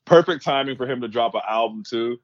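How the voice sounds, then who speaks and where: A man's voice: mid-range voice, brisk, lo-fi sound, over a noisy crowd. A man co-hosting a casual sports podcast over a call, laid-back and slangy, laughing easily. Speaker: podcast co-host. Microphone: remote call.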